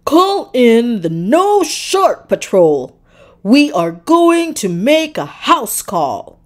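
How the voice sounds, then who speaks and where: Woman voicing an excitable, emphatic male dolphin dentist. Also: fairly high pitch, heavily acted. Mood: urgent, eager. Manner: emphatic, exclaiming, drawn-out words. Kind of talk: children's storybook character reading.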